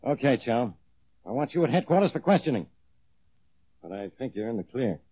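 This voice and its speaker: A man in an old radio detective drama playing a gruff, needling, sarcastic police inspector. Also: mid-range voice, very animated, brisk. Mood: brusque, authoritative. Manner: gruff, needling, hesitant openings. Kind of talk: 1940s radio acting. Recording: muffled old radio recording.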